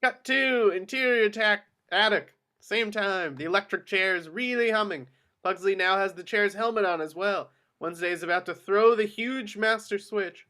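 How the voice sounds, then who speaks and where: A man's voice: high voice, moderate pace, bright, forceful tone. A man reading out screenplay action directions as scripted narration at a table read. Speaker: action-line reader.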